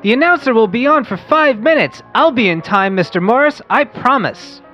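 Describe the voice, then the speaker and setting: A woman acting a wholesome, keen young lad hosting a radio show, with very lively swings of pitch. The delivery is old-time radio drama, slightly dull in sound, with faint music underneath. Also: normal speed, natural voice. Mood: earnest, excited. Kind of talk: old-fashioned radio play reading.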